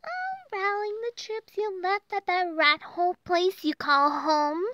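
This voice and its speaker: A very high, bouncy voice for an impish, teasing minion, acted out by a performer in an audio drama. The tone is bright and sharp, and the pitch swoops all over. The speech is unhurried, with a faint ambience behind it. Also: lively intonation, highs cut off, a little hiss or hum, heavily acted. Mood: cheeky. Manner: playful, teasing.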